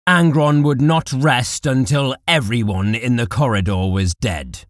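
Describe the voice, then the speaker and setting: A man narrating dark war fiction as a scripted audiobook, in a deliberate, dramatic manner. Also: mid-range voice, no echo, studio quality.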